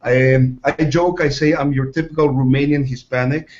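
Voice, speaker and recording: A middle-aged man from Mexico talks through his answers in a measured way. He starts over often and pauses on fillers. The recording sounds dull and lo-fi. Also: medium pitch, steady tone, darkish tone. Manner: hesitant, many fillers and restarts. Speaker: ad agency president, guest. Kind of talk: interview answers.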